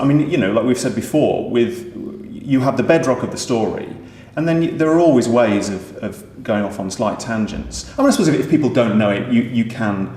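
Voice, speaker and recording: A man's voice: fairly low pitch, darkish tone. Roomy-sounding British man, thoughtful. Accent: British English. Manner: thoughtful, many small restarts. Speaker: pantomime writer and director. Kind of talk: casual conversational interview. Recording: roomy echo.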